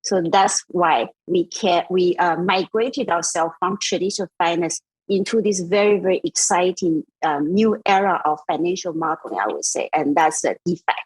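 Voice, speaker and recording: A middle-aged woman, born in Taiwan and raised in Austria, explaining her work as a company CEO in a measured way with many fillers. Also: fairly low pitch, slightly rough, dry recording, low-quality recording. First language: Mandarin. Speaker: DeFi company CEO, guest. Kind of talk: interview answers.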